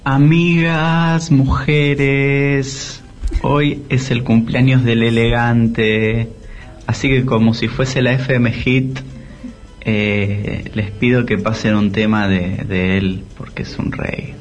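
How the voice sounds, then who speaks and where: A man's voice: medium pitch, fairly even intonation, slow, lo-fi sound, faint music behind. A man sending a voice message to a radio show, making a friendly song request. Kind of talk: casual voice message.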